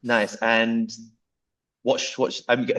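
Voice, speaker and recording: A man's voice: medium pitch, flat delivery, a little slow, a bit bright. A man hosting a podcast, loose and chatty, swearing and restarting his sentences. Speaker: podcast host. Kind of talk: casual interview.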